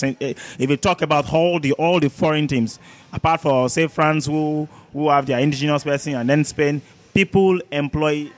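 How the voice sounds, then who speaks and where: A man with a Nigerian accent debating sport as a podcast panelist, emphatic and argumentative, repeating words and throwing out rhetorical questions. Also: a little high.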